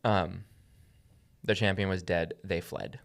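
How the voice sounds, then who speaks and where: A man's voice: deep voice, steady tone, slow pace, creaky. A man co-hosting a podcast, casually talking through Bible passages in an explanatory way and repeating phrases for emphasis. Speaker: podcast co-host.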